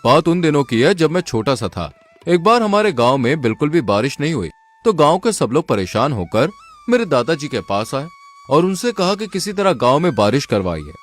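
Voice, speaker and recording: A man's voice: a little high, sing-song, normal speed, faint music behind. A man voicing a boastful, scheming village swindler in a Hindi folk tale, in a theatrical manner.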